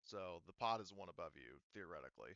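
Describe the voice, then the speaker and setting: A man's voice: deep voice, lively intonation, fast, sharp tone, dry recording, highs cut off. A man running a tabletop game as game master, explaining options casually and thinking aloud with some hesitation. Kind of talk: casual tabletop game narration and rules talk.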